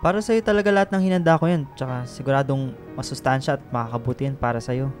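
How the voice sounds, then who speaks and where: Male actor as caring stepfather, radio drama, fast delivery, background music. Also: medium pitch, a little mellow, lightly acted. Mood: warm, caring. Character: father figure, caring stepfather of the household. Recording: music bed behind the voice.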